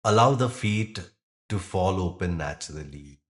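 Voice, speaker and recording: A man leading a guided yoga nidra meditation, speaking calmly and gently in short, unhurried phrases with pauses. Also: low-pitched. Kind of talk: guided meditation, slow instruction with long pauses.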